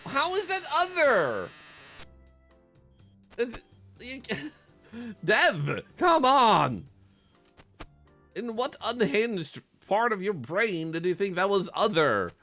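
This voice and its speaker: Animated male streamer over game music. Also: high voice, takes it slowly, long pauses. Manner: mutters counts, bursts into loud exasperated rants. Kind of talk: casual gameplay commentary.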